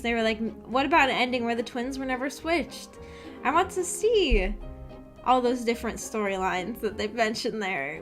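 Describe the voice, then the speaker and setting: A woman streamer chatting casually and enthusiastically with her viewers, with informal phrasing full of "like". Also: sits high. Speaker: streamer hosting a visual novel book club. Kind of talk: casual livestream chat with viewers.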